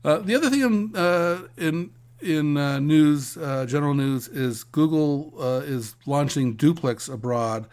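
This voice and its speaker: A man co-hosting an industry news podcast, giving conversational commentary in a halting way, often restarting his phrases. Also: on the high side, a little mellow.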